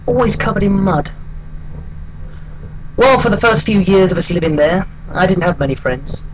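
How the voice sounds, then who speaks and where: High-pitched hapless man acting a deadpan monologue storyteller. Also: moderate pace, a little hiss or hum, natural voice, poor audio. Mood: deadpan, rueful. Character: hapless, wistful single man telling his odd life story. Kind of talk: comic dramatic monologue.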